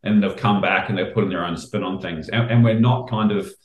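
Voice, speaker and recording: Middle-aged Kiwi chef, casual and rambling, slightly low pitch, roomy echo on the mic. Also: male voice, fairly quick. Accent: New Zealand. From New Zealand. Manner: relaxed, rambling, frequent fillers and restarts. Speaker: chef guest. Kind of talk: casual interview chat.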